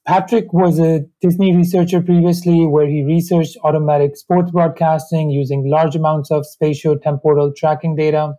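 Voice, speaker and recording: Warm-voiced host introducing a guest. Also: male voice, a little high, level tone, low-quality recording. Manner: measured, formal, slightly uneven grammar. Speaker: podcast host. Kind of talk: scripted intro and interview questions.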